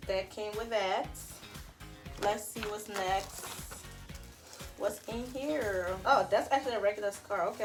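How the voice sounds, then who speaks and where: Chatty woman vlogger. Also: medium pitch. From Liberia. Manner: chatty, addresses viewers as sis and y'all. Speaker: beauty vlogger. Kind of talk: casual wig unboxing tutorial.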